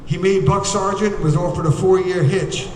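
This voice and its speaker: A man emceeing a ceremony, reading biographies aloud at a podium in a formal, measured way with occasional hesitations. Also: sits high, steady tone, darkish tone, a little hiss or hum. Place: large museum hall. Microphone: podium microphone. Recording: echoey hall, voice from far off.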